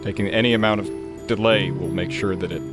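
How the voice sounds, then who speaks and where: Lower-pitched man playing a tabletop game. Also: fairly even intonation, a little fast, over loud music. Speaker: player in a tabletop horror game. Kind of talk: casual in-character game play.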